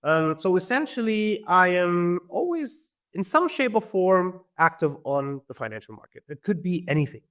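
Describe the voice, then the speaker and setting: Easygoing man, somewhat high voice. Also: very animated, normal speed, few pauses, a bit bright. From Germany. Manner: easygoing, chuckles often, many fillers. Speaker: podcast guest. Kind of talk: casual interview talk.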